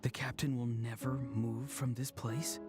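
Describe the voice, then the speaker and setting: A man's voice: medium pitch, little pitch movement, measured pace, natural voice. A man voicing a thoughtful young companion in a game cutscene, puzzled and reflective. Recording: game music behind voice.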